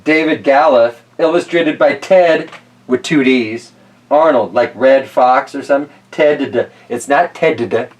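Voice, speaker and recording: A man's voice: mid-range voice, a little slow. A man hosting a casual, chatty read-aloud of picture books, playful and folksy, with rambling asides. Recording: roomy distant mic with slight noise.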